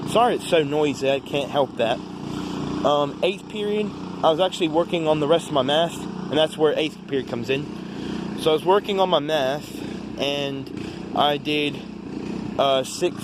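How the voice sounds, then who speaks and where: A teenage male with an American accent gives a casual, rambling vlog-style recap of the day, halting often as he talks. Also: fairly high pitch. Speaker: vlogger. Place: outdoors. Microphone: phone. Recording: noisy outdoor phone recording with traffic.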